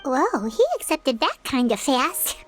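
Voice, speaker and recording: An actress voicing a tiny chirpy companion who blurts out quick remarks. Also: female voice, high-pitched, very animated, soft background music. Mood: surprised.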